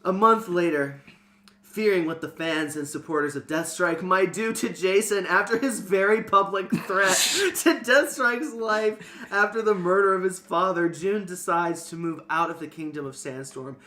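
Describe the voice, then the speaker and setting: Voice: mid-range voice, moderate pace, bright, forceful tone. A podcast host reading a fantasy novel aloud and bantering casually, prone to giggling. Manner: giggly, breaking into laughter. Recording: music behind the voice.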